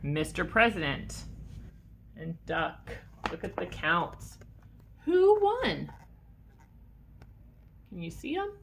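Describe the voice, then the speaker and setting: A woman's voice: on the low side, wildly swooping pitch, very slow, creaky, pauses often, slight background noise. A woman reading a picture book aloud to children like a teacher, expressively and with questions to the listeners. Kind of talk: animated storybook read-aloud for children.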